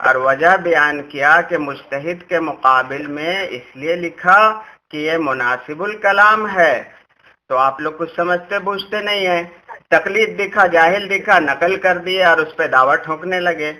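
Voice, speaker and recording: Forceful, high-pitched man lecturing in debate. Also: measured pace. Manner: insistent, lecturing, repeats himself. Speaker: religious debater recording a call for listeners. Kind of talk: argumentative religious explanation in a phone debate. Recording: phone call recording.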